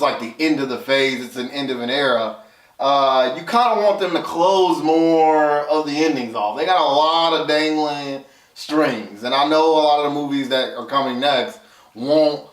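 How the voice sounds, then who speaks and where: A man co-hosting a casual film review, explaining his opinions conversationally and reasoning them out step by step. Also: mid-range voice. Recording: roomy echo, distant from mic.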